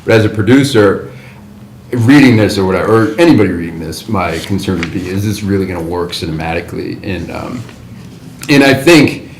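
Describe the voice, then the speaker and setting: A man in a writers' group, thinking aloud as he critiques a script in a casual discussion. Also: fairly low pitch. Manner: thinks aloud, rambling with restarts. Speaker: writers' group member giving feedback. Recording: roomy table recording, faint background noise.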